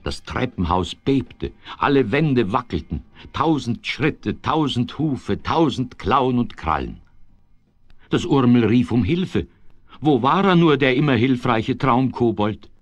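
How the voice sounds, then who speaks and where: A man narrating a 1970s children's radio play in a measured, expressive way that builds suspense. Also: mid-range voice, no room sound. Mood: suspenseful. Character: male narrator of a whimsical children's tale.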